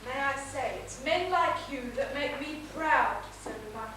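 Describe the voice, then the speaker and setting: A woman playing a brisk, wry, businesslike streetwalker with a kind streak in a stage comedy, projecting to the house. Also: high voice, lightly acted. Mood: amused, kindly. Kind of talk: live comedic stage play acting. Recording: echoey theatre stage, distant mic, noisy.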